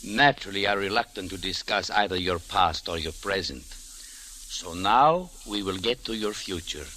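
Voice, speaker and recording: An actor playing a stern, haughty Egyptian government official with formal, deliberate speech in an old-time radio drama. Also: male voice, low-pitched, lively intonation, pushed and bright, poor audio. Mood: coldly polite. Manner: deliberate, formal, menacingly polite. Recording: hissy old radio recording.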